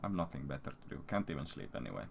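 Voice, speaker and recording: Very low-voiced man telling crude stories, noisy stream. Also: very animated, rapid-fire, vocal fry. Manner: crude, swearing, rambling. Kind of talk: casual storytelling to stream viewers. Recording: noisy stream audio, keyboard sounds.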